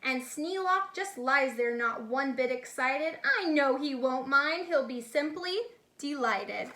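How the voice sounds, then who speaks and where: A woman reading a picture book aloud expressively in an animated, deliberate way, with explanatory asides for young listeners. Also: high voice. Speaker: children's read-aloud storyteller, teacher-like.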